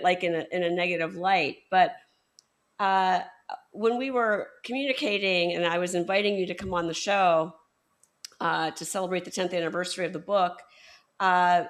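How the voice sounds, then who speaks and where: Female podcast host, somewhat low voice, halting conversational delivery with frequent fillers. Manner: hesitant, many fillers and restarts. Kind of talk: conversational interview.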